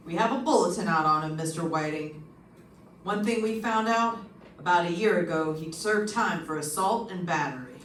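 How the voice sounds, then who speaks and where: An actor playing a brisk, matter-of-fact police lieutenant in an old-time radio detective drama. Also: medium pitch, a bit bright, natural voice. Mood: brisk, businesslike. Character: businesslike police homicide lieutenant. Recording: echoey, distant, noisy room.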